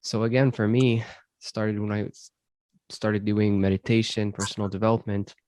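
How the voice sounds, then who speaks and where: A man from Syria, host of a self-improvement podcast, answering interview questions in a thoughtful, measured way with frequent ums. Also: lowish voice, little pitch movement, a little mellow, no echo. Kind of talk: reflective conversational interview.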